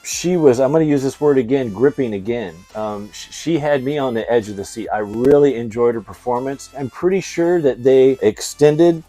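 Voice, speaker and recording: A man's voice: mid-range voice, normal speed, few pauses, mellow, soft background music. A middle-aged man hosting a casual movie review, talking in a slangy, effusive and emphatic fan's way. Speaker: video host, movie reviewer.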